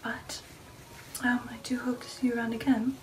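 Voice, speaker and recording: A woman voicing a refined, affectionate female vampire in an intimate ASMR roleplay, with a breathy whisper and a genteel manner. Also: a little high, little pitch movement, moderate pace, recorded from a distance, slight background noise, natural voice, rough recording. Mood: politely intrigued. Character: refined, affectionate female vampire recounting an old shipboard romance. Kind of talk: ASMR roleplay, personal attention.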